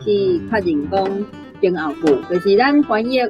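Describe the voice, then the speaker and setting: Female language teacher, Taiwanese accent, mid-pitched, conversational explanations over faint guitar. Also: animated, unhurried, dull audio. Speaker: language teacher giving feedback. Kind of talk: informal explanation. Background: guitar music.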